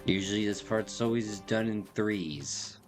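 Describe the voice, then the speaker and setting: Casual male game streamer, lower-pitched voice, chatty commentary over clearly audible music. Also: unhurried. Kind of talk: casual gameplay commentary, reading dialogue aloud.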